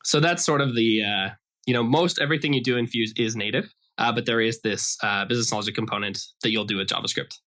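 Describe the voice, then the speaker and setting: Man, American accent, bright crisp-edged tone, medium pitch, casual explanatory interview talk. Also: fast talker. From Idaho, United States. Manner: casual, explanatory, says "like" often. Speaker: interview guest, software developer. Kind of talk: conversational podcast interview.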